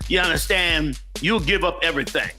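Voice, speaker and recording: A man's voice: fairly high pitch, brisk, pushed and bright, faint music behind. A man from the Bronx, ranting emphatically as co-host in a casual commentary chat. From the Bronx, New York. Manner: heated, emphatic, preachy.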